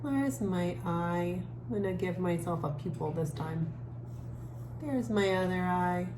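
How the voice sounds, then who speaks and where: An echoey, noisy room recording of a woman leading a creative drawing lesson for children. She speaks slowly at a middle pitch. Her manner is gentle and casual, thinking aloud with trailing pauses as she works. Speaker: art teacher for children. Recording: echoey room with clear background noise.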